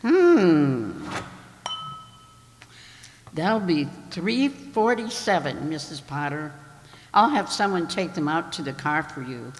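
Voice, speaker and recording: Lady doing a nosy chatty checkout woman for a radio play, singsong and slow, big echoey hall. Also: low-pitched, very animated, full character voice. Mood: puzzled, polite. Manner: gossipy, inquisitive, repeats the orders back. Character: chatty, nosy female grocery store cashier. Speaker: amateur radio player. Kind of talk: amateur radio play acting. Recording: echoey hall, long reverb.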